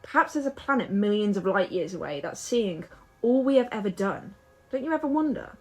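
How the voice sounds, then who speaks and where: A woman's voice: medium pitch, lively intonation, fairly quick, a little mellow, soft background music, lightly acted, poor audio. A woman acting in an audio drama as a restless, hopeful young woman who chats in a musing, playful way. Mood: musing, curious.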